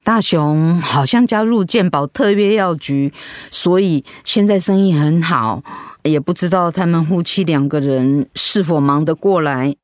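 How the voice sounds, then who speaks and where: A woman's voice: deep voice, a little slow, no room sound, clean studio sound. A woman playing an elderly, firm but generous mother-in-law in a radio drama, speaking plainly. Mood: resigned. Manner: scolding, plain-spoken.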